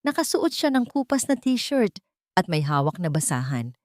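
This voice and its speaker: A woman's voice: on the low side, sing-song, normal speed, darkish tone, dry recording, clean studio sound. A woman reading a scripted first-person drama as an audiobook narrator.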